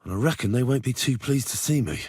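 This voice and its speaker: A man playing a young, earnest, plucky medieval blacksmith turned adventurer in a video game, with a plain-spoken, expressive delivery. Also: mid-range voice, quick pace, breathy edge, no room sound, studio quality. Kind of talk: video game voice acting, dramatic dialogue lines.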